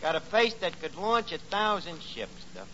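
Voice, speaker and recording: A dull, slightly noisy 1940s radio recording of a breezy tavern manager with a New York accent. His high, sharp voice tosses off slangy deadpan wisecracks. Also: moderate pace, heavily acted. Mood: cheeky, breezy. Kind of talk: 1940s radio comedy monologue on the phone.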